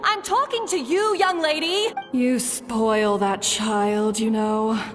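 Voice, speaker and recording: High-voiced, scolding mother. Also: slow pace, fairly bright tone, in a large hall, soft background music. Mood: exasperated. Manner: scolding, exasperated. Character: strict, fretting mother. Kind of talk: video game voice acting.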